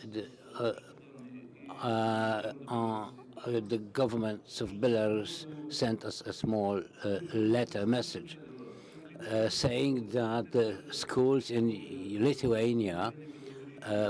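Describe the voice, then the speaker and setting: Slow, halting male conference interpreter. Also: fairly low pitch. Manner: halting, many fillers and restarts. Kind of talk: simultaneous interpretation into English. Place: parliamentary conference hall.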